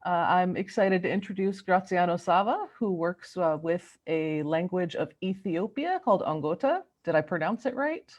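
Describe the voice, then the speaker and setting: A woman opens an online training session and introduces the presenters. Her voice sits a little low, and her delivery is friendly and relaxed, with a few fillers. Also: normal speed, hardly any pauses, muffled recording. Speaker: webinar host. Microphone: video call.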